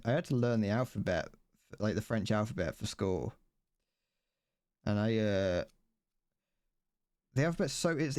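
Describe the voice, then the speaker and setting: A man's voice: medium pitch, a little mellow, dry recording, studio quality. A young man streaming as he builds a model kit, chatting casually, rambling, correcting himself and stumbling over his words.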